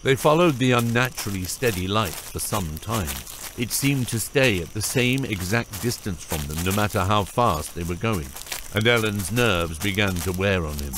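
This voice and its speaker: Low-voiced male audiobook narrator. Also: lively intonation, moderate pace, noisy background.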